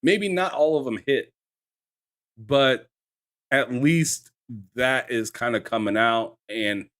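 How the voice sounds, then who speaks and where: A man co-hosting a casual gaming news show, speaking haltingly and stammering as he starts his points. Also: mid-range voice, fairly bright tone. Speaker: podcast co-host.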